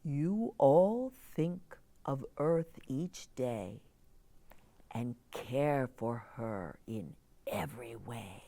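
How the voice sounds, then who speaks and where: Woman voicing wise Moon, storybook guide, kindly, encouraging, measured rhymes, very slow, lively intonation. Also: sits low, dry recording, exaggerated voice.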